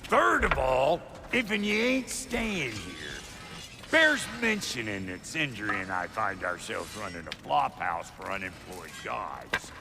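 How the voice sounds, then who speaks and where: A cranky video game dwarf blacksmith with a twang. His gravelly voice swings wildly up and down, and he takes his time with blunt, folksy jabs. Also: a little high, heavily acted. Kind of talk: video game character acting.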